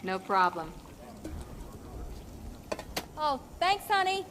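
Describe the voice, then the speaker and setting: A friendly diner waitress in a game. She sounds familiar and easygoing, in a slightly high voice. There is faint background noise. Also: very animated, extremely slow, exaggerated voice. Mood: friendly, casual. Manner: familiar, chatty, obliging. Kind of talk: naturalistic game acting.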